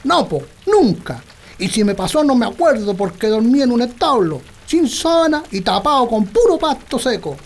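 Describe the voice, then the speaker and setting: Radio comedy: stubborn old Chilean peasant husband played by a man, creaky, sleepy, forever grumbling. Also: very high voice, very animated, normal speed, full character voice. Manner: grumbling, colloquial. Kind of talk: comic radio drama acting.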